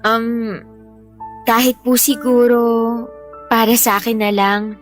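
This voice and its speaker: A timid teenage girl in a radio drama, with a high voice. She speaks politely and hesitantly, pleading and eager to please, over faint music. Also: fairly even intonation, takes it slowly. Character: timid, eager-to-please eighteen-year-old daughter seeking her mother.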